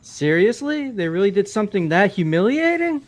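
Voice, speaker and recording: Man as a high, bewildered student. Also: sing-song, normal speed. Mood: incredulous. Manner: incredulous, blurting. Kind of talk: fan-dubbed video game character acting. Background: game music.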